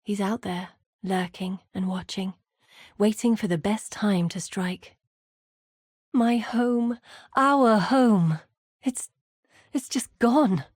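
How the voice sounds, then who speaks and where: A woman's voice: mid-range voice, breathy edge, no echo, clean studio sound. An actress voicing a weary, earnest woman who leads exiled warriors in a video game, speaking in a grave, articulate manner. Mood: grieving, weary. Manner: measured, grave, articulate storytelling. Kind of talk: scripted video game voice acting.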